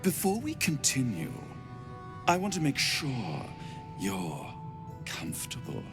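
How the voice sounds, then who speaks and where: An actor playing a seductive, cruel and playful incubus in a video game, with theatrical, teasing delivery. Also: male voice, mid-range voice, huge pitch swings, slow pace, music in the background, full character voice. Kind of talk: video game character acting.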